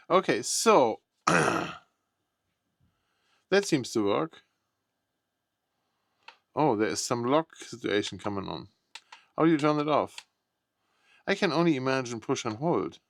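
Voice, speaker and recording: A man's voice: mid-range voice, big pitch swings, slow, vocal fry, long pauses, fairly bright tone. A man giving casual, unscripted unboxing commentary, thinking aloud in short, tentative remarks. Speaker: product unboxing vlogger.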